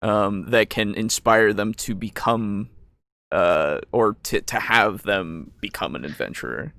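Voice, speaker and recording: A man's voice: fairly low pitch, measured pace, creaky, a bit bright, no echo. A man co-hosting a podcast, talking casually in a discussion about tabletop gaming. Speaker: podcast co-host.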